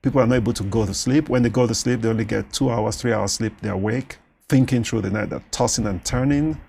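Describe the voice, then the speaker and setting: A man with a Nigerian accent whose first language is Yoruba, talking as a doctor in a studio interview, animated and quick to laugh. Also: medium pitch, creaky, slightly rough. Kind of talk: conversational interview.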